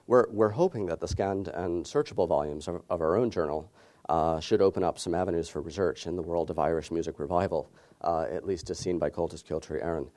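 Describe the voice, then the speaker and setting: Low, mellow-voiced man giving a talk. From United States. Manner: measured, with frequent "uh" fillers. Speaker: conference speaker. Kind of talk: conference presentation.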